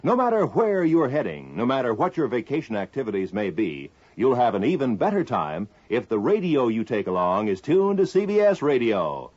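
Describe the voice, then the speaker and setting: A man's voice: medium pitch, wildly swooping pitch, normal speed, hardly any pauses, a little mellow. A man reading a scripted 1950s radio network promo in a polished, salesmanlike way. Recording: dull lo-fi 1950s radio recording.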